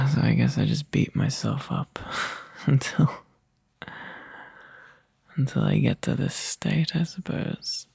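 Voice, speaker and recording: A man playing a tired, overworked young boyfriend in a soft, intimate ASMR roleplay, halting and breathy, often dropping into a whisper close to the mic. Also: mid-range voice, dry recording, exaggerated voice. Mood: weary, apologetic. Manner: halting, drowsy, sighing delivery. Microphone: close microphone, dry.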